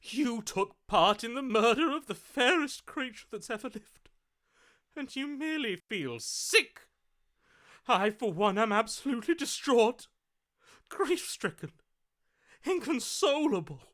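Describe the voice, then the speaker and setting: A man's voice: very high-pitched, very animated, measured pace, a bit bright, heavily acted. A man voicing a lovestruck, melodramatic romantic hero in a video game, speaking in a theatrical, florid, overwrought manner. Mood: anguished, indignant. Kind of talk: video game character voice acting.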